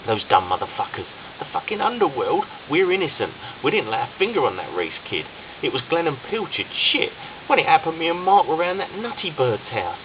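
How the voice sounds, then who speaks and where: A man voicing a terrified young man who rants frantically in a high-pitched voice. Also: sing-song, a little fast. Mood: panicked. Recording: slightly dull with faint hiss.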